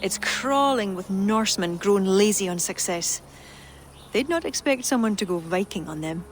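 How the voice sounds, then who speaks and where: An actress playing a wry, brisk adviser in a video game, speaking. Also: female voice, medium pitch, animated, slight background noise, lightly acted.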